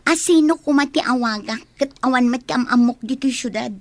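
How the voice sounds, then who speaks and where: Woman acting defiant, high-voiced aggrieved young partner. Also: a little fast, no echo. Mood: defensive. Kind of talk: radio drama acting.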